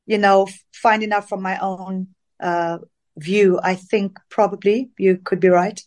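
A middle-aged woman from Zambia answers interview questions at a middle pitch. She tells her story in an easygoing, reflective way, with small pauses and fillers as she remembers. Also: steady tone, darkish tone. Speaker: interview guest, dance singer. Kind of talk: casual interview conversation.

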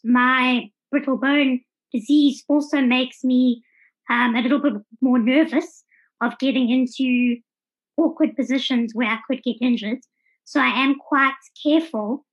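A woman's voice: sits high, highs cut off. A South African woman in her thirties giving articulate, orderly answers as a guest in a conversational interview. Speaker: podcast guest.

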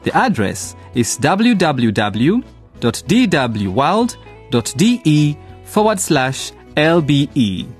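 A man narrates a scripted radio programme, speaking to listeners. His voice is a little high and very animated, with light guitar music behind. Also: slow pace. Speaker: narrator and presenter.

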